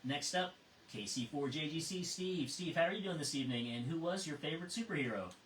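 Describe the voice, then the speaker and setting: A middle-aged or older man acting as net control on a ham radio net, talking casually through check-ins and often halting to repeat words. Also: on the high side, animated, fairly bright tone. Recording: roomy, distant mic, faint noise.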